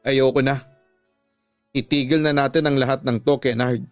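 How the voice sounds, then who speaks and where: A man's voice: medium pitch, fairly even intonation, normal speed, dry recording, soft background music, lightly acted. A man voicing a tearful, angry young woman confronting her cheating boyfriend in a radio drama. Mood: hurt, resigned. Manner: accusing, wounded.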